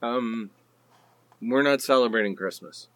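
A man's voice: medium pitch, measured pace, fairly bright tone, dry recording, close to an everyday voice. A man playing a pleading, sarcastic partner who bickers in a comedic radio play.

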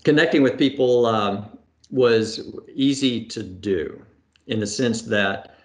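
A middle-aged man speaks over a video call from a book-lined room at home, his voice sitting a little low. He answers thoughtfully, pausing with fillers and circling back to restart his phrases. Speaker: astronaut guest. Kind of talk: conversational Q&A answers. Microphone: video call webcam.

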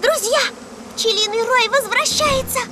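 Very high, kind ambulance robot. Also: female voice, unhurried, heavy background noise. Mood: alarmed. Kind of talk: children's cartoon dub. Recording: very loud music under the voice.

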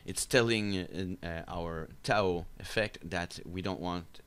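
A man giving an unscripted software screencast tutorial, explaining step by step in a halting, hesitant way. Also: sits low, clean tone. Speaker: tutorial instructor. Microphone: voice-over for a screen recording.

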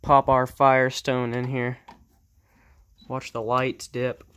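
A man's voice: mid-range voice, completely flat delivery, slow, a little hiss or hum. A man giving a casual, off-the-cuff hands-on demonstration as a hobbyist, speaking indoors into a handheld camera. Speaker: vintage radio hobbyist. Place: indoors in a cluttered workshop. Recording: music playing loudly behind.

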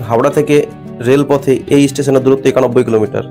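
A man's voice: medium pitch, fairly even intonation, warm tone. A man narrating a train journey as a travel vlogger, explaining sights like a tour guide. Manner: fast, informative tour-guide telling. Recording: music bed under voice-over. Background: guitar music.

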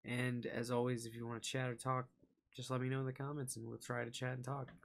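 A man's voice: medium pitch, flat delivery, quick pace, gravelly. Casual, hesitant young man reacting. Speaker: reaction video host. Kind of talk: casual off-the-cuff commentary. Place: small indoor room at home.

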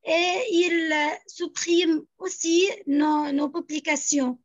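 A woman's voice: sits high, fairly even intonation, slow, dry recording. Hesitant young woman on a video call. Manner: hesitant, many fillers and restarts. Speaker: young activist speaking from Gaza. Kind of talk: answering a question on a video call. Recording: dull lo-fi video call audio.

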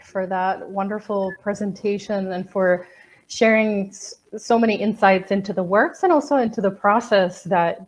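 A middle-aged woman hosting a museum Q&A on a video call, speaking in a measured way with restarts. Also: mid-range voice, a little mellow. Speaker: museum host. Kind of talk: moderating a Q&A. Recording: dull lo-fi video call audio.

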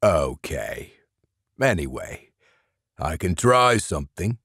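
A man voicing an old, grumpy, boastful male gargoyle, gruff and dismissive. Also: deep voice, big pitch swings, slow, a bit bright, no echo, clean studio sound, exaggerated voice.

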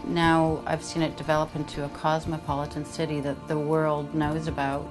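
A woman's voice: low-pitched, level tone, moderate pace. A woman from Vancouver, an art student talking thoughtfully and with measure in an interview testimonial. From Vancouver, Canada. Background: music.

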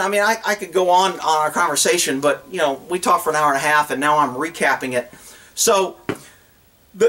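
A middle-aged man talks in a raised, high pitch. He explains in a folksy, animated and blunt way, unscripted, like a trainer addressing a camera outdoors. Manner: animated, blunt, folksy, acts out imagined dialogue. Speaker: horse trainer and vlogger. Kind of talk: casual off-the-cuff instructional talk to camera. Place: outdoors on open rural land.

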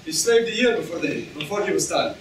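A man's voice: high voice, fairly quick, lightly acted. A young man acting a courteous, earnest Spanish swordsman in a theatrical staged reading. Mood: solemn. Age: young adult. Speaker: student actor in a staged reading. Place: lecture room stage before an audience. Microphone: far camera in the audience. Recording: distant, echoey room with noise.

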